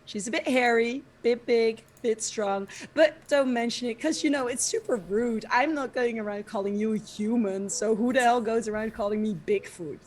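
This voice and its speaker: Hoarse woman player with a cold. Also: a little high, normal speed, few pauses, a little mellow, a little hiss or hum. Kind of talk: casual chat.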